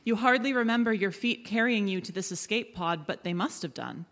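A woman presents a live audio drama with theatrical, scripted narration. Her voice is bright and forceful, carrying through a large echoing hall. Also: medium pitch, a little fast. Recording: echoey hall, live stage.

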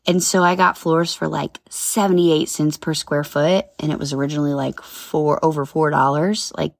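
A woman's voice: deep voice, steady tone, moderate pace, vocal fry, dry recording, clean studio sound. Congested, chatty woman podcaster. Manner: chatty, upbeat, rambling with asides. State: congested, a little nasal. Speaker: podcast host. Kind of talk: casual solo podcast chat.

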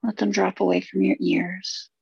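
Gravelly, even-toned woman guiding meditation. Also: mid-range voice, no room sound, highs cut off. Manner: soothing, unhurried instruction with short phrases and long pauses. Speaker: meditation guide. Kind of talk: guided relaxation meditation.

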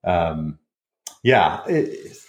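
A man from Iran, a founder, reflects on his past in a halting, thoughtful way. He restarts his sentences often. His voice rings in a roomy, echoey space. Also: low voice, sing-song. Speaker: founder, guest. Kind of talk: reflective panel chat.

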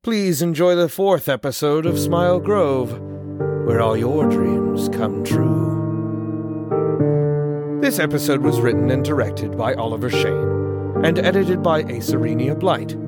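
A man's voice: medium pitch, expressive, unhurried. A man reading scripted end credits as the announcer of an audio drama podcast. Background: loud plucked guitar music.

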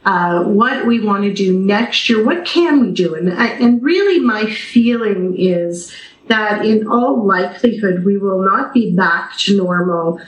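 A woman's voice: mid-range voice, animated, measured pace. A Canadian woman speaking enthusiastically and conversationally as a guest in a radio interview. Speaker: interview guest, theatre company representative. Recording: roomy, echoing sound.

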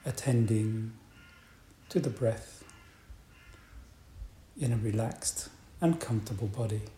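A man guides a meditation in a soft-edged, relaxed voice of middling pitch. He speaks very slowly and deliberately, leaving long, calm pauses between phrases. The room adds a noticeable echo to his voice. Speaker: meditation teacher. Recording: roomy echo, faint background noise.

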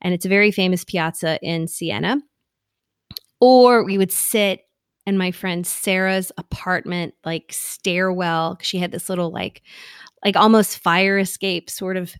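A woman's voice: fairly low pitch, steady tone, measured pace, clean tone, no echo, studio quality. A middle-aged woman co-hosting a podcast, chatty and giggly, rambling through a personal story. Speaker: podcast co-host. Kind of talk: casual conversational chat.

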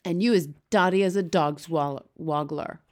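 Lady doing a big nasty storybook giant bloke, shouting and booming, bullying everyone in broken grammar. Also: animated, moderate pace, close to an everyday voice. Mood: scornful, bullying. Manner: booming, shouting, insulting, ungrammatical. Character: huge brutish male man-eating giant bully.

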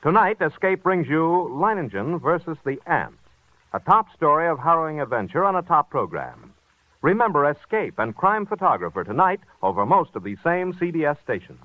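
A man's voice: fairly high pitch, big pitch swings, normal speed, no echo. A man with an American accent announcing radio thrillers in a dramatic, punchy 1940s promotional style. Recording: tinny vintage radio broadcast recording.

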